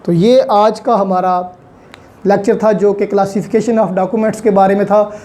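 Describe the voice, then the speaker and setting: A woman's voice: mid-range voice, steady tone, slow pace, warm tone. A woman with a Pakistani accent giving an online lecture as a law teacher, explaining step by step, posing questions and answering them, with many English terms mixed in.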